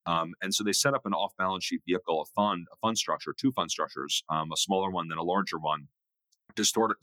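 Guy with a super low voice, lots of ums and restarts, bright edge, dry sound. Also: no room sound. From New York area. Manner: halting, many fillers and restarts. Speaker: guest, music investor. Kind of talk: casual interview talk.

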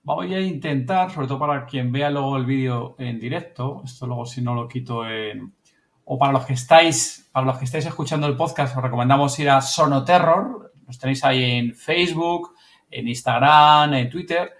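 A man's voice: medium pitch, normal speed, a bit bright. A man with a Spanish accent from Spain hosting a podcast in a casual, chatty style. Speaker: podcast host. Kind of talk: casual chat.

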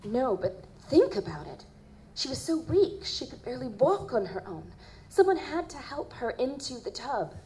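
A gentle, earnest psychic, played by a woman, speaks with a soft-edged, mellow tone. Her delivery is hesitant and solemn, as in audio drama, with some background noise around her. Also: sits high, very animated, moderate pace, poor audio. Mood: pensive. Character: earnest, gentle female psychic who reads ghostly impressions. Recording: live performance with background noise.

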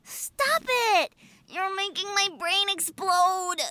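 A woman's voice: very high-pitched, slow, sharp tone, no room sound, full character voice. A woman playing a playful, teasing schoolgirl in a family audio drama.

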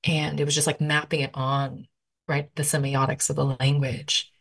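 A woman poet answering interview questions, thinking aloud with lots of "like" fillers and an animated, conversational manner. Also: deep voice, normal speed, creaky, husky.

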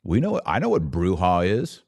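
Very deep-voiced American man, sarcastic commentator. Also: wildly swooping pitch, normal speed, a little mellow, husky, dry recording. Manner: sarcastic, emphatic, repeats phrases for effect. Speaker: podcast host and commentator. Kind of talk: opinionated solo podcast commentary, reading quotes and reacting.

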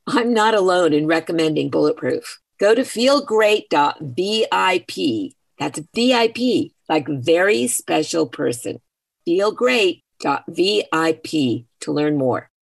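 Low, lively woman reading an ad. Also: measured pace, vocal fry. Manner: upbeat, persuasive. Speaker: executive producer reading an ad. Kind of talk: scripted radio ad read.

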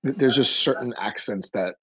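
A man's voice: mid-range voice, normal speed, pushed and bright, husky, dry recording. A man speaking as a guest in a casual online audio chat, often repeating and stumbling over his words. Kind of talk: casual Twitter space chat. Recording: dull, dry lo-fi mic.

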